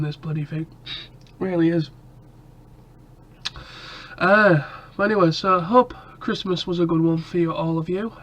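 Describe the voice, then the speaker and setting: A man's voice: high voice, a bit bright, dull audio. A man chatting casually to camera in a rambling way, his nose stuffed up and coughing from a cold. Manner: rambling, lots of ums and restarts. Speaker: vlogger.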